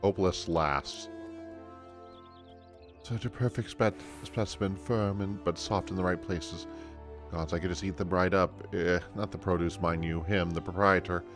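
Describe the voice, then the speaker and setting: Man playing a lovestruck, quiet villager. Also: sits low, steady tone, a little slow, music in the background. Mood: pining. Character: lovestruck, bashful villager pining over a vendor.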